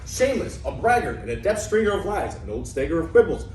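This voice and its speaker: A man's voice: a little high, big pitch swings, fast, darkish tone. A man playing an earthy, bumbling, debt-ridden would-be student in a theatrical reading of an ancient Greek comedy, with exclamatory delivery. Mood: defiant, boastful. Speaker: amateur actor in a staged play reading. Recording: echoey room, distant mic, background noise.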